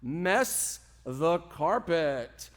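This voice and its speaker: Theatrical high-pitched man hosting a show. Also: animated, extremely slow. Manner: grandiose, playful, formal introductions. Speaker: host of a live radio drama show.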